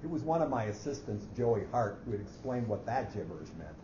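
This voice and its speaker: A man's voice: medium pitch, very animated, a little fast, close to an everyday voice. A man playing a fussy, precise office clerk who coolly plots murder, speaking formally in a staged story reading. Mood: indignant, precise. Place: echoey hall at a live reading. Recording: muffled, noisy, echoey hall recording.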